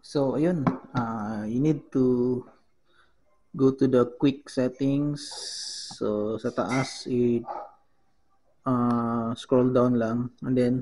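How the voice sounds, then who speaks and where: A man with a Filipino accent giving a casual how-to demonstration as a vlogger, halting as he searches for words and mixing two languages. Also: medium pitch, steady tone, warm tone, rough recording. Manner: halting, searching for words, switches between Tagalog and English. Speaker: vlogger giving a gadget tutorial.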